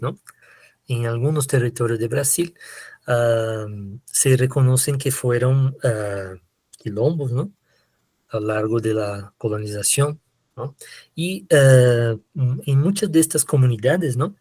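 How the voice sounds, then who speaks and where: Man with a Brazilian Portuguese accent, very slow, dry close sound, lecturing on a video call, hesitant with many fillers. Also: mid-range voice, darkish tone, dry recording. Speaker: literature lecturer. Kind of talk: online lecture. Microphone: computer mic on a video call.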